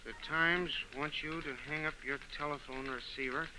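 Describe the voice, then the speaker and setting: A man's voice: fairly high pitch, steady tone, moderate pace, background noise, lightly acted. An actor in a 1930s radio drama playing a frantic, pushy man in a newsroom. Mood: hurried. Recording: old radio recording, faint music.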